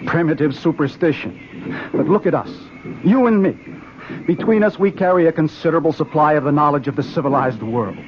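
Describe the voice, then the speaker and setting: A high, urgent voice from a male actor plays a worried young scientist in an old radio drama. He presses every point with jumpy insistence. The recording is dull and thick with noise. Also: brisk, lightly acted. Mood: uneasy, worried. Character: anxious, agitated young scientist fearing for his sweetheart.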